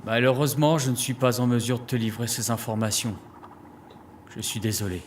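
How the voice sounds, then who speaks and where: A man's voice: medium pitch, fairly even intonation, fairly quick, slight background noise, close to an everyday voice. A man playing a frightened, evasive old doctor in an audio drama, speaking in a hesitant, apologetic and dramatic way.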